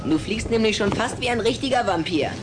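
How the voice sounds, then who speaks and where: Eine Frau spricht in einem Kinderhörspiel einen frechen, herrischen kleinen Vampirjungen, der gern neckt und prahlt. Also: female voice, a little high, lively intonation, fairly quick, background noise, natural voice. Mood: teasing, indignant. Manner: teasing, commanding, exclamatory. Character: cheeky, bossy little boy vampire, teasing and boastful. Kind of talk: German radio play acting for children.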